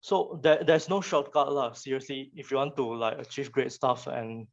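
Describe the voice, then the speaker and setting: A man's voice: medium pitch, fairly even intonation, moderate pace, creaky, lo-fi sound. A young man from Malaysia with a Malaysian English accent, Chinese his first language, giving a casual motivational talk to students and often repeating words as he restarts.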